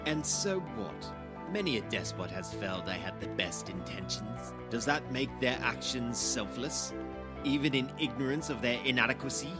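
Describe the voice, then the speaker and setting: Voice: mid-range voice, lively intonation, moderate pace, natural voice. An actor playing a haughty, theatrical prosecutor in a visual novel, with pompous, florid and contemptuous speech. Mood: scornful challenge. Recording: loud music over the voice.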